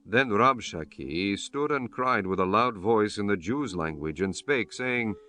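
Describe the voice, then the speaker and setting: Low-voiced man narrating scripture. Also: animated, a little slow, pushed and bright. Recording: music under the voice.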